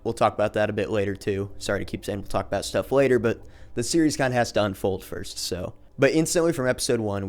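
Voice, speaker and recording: A man narrating a video essay in a conversational explanatory way, moving between scripted recap and casual commentary. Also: on the low side.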